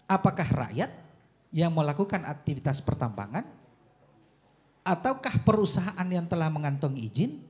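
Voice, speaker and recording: A man speaking as a panelist at a public forum, arguing a policy point in deliberate, emphatic phrases with rhetorical questions. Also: on the high side, very animated, a little mellow. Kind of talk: argumentative forum speech on policy.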